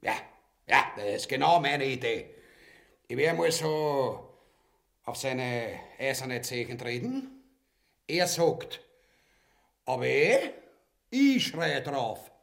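Knarrender, großspuriger Verschwörer. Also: male voice, medium pitch, very animated, slow, vocal fry, long pauses, fairly bright tone, full character voice. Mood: scheming, blustering. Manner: mock-solemn, blustering, repetitive. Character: bumbling, bossy, vulgar male plotter and would-be usurper. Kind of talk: grotesque farce radio acting.

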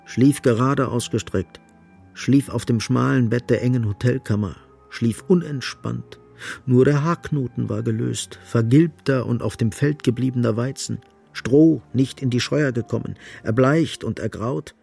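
An actor as the grave, ironic narrator of a literary radio play, deliberate and insistent, with a husky, creaky voice. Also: male voice, mid-range voice, a little mellow, soft background music. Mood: grave, brooding.

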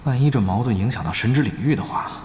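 An actor playing an excitable, exasperated young male guild leader in an online-game audio drama. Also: mid-range voice, fast, slight background noise, lightly acted. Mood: worried, musing. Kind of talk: Chinese audio drama acting. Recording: roomy reverb, music bed. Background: background music.

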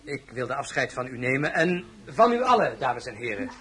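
An actor playing a courteous military officer in a radio drama, addressing company in a formal, deliberate, announcing manner. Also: male voice, medium pitch, very animated, bright, forceful tone. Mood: formal, resolute.

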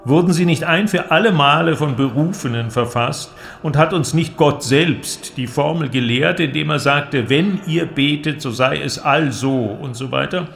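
Man acting cynical male inventor, higher-pitched creaky voice, declamatory persuader, audio drama, music behind. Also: moderate pace, hardly any pauses, a bit bright, a little hiss or hum. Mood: persuasive, mocking. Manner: declamatory, argumentative, rhetorical. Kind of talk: literary audio drama dialogue.

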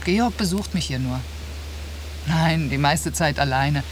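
A self-assured female traveller, chatting casually as in a naturalistic radio play. She comes across as outgoing and independent, with clear tape hiss from an old cassette. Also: sits low, a little slow, low-quality recording. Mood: chatty, self-assured. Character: independent, outgoing female teacher, seasoned backpacker. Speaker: actor. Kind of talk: naturalistic radio drama dialogue.

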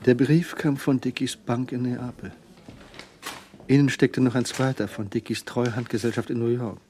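An actor narrating a crime radio drama in a measured, brooding manner. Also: male voice, mid-range voice, flat delivery, a little mellow, a little hiss or hum, lightly acted. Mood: tense, brooding.

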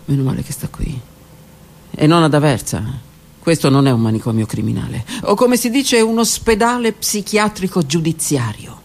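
A woman reading her own literary text aloud on the radio, in a slow, intimate voice that often drops to a whisper. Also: low-pitched, lively intonation. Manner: slow, intimate, hushed delivery with whispered words. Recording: radio broadcast with faint music.

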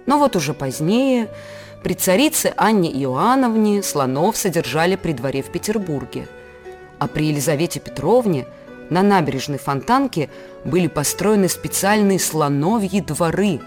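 A woman playing a patient mother, giving measured, scripted educational storytelling to her child. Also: lowish voice, expressive, a little mellow, faint music behind, lightly acted. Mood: patient, explanatory.

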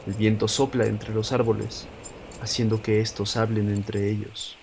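Low-voiced Mexican man narrating a story. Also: flat delivery, a little slow, vocal fry, a little mellow, a little hiss or hum. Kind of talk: scripted audio story narration.

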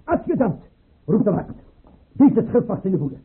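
An actor playing a capricious tyrannical tsar in a Dutch radio play, with clipped orders and muttered asides. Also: male voice, high voice, very animated, normal speed, narrow, tinny audio, slight background noise. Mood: imperious, enraged. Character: capricious tyrannical tsar, obsessed with drill and buttons. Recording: band-limited old radio play recording.

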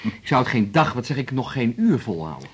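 A man's voice: mid-range voice, very animated, fairly quick, breathy edge. An actor playing a worried, hesitant man in a radio drama, often correcting himself. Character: worried, hesitant male partner of a young doctor.

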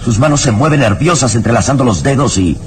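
A man's voice: medium pitch, lively intonation, fast talker, close to an everyday voice. A man narrating a horror adventure radio serial in a grave, theatrical, ominous style. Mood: ominous, suspenseful. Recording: radio drama with background music. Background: dramatic music.

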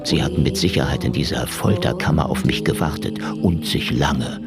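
A tough sardonic noir detective, deep and creaky, radio play with music behind. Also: male voice, moderate pace. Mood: brooding. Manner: laconic, dry. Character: hardboiled, wounded but defiant male detective, laconic and sardonic. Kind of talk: noir radio drama, first-person narration and dialogue.

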